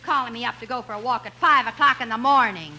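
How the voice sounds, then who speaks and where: A woman's voice: on the high side, fast talker, bright, forceful tone, heavily acted. An actress playing a sleepy, sardonic woman friend on the telephone, dry and incredulous, in 1950s radio comedy style. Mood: exasperated. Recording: noisy old radio recording.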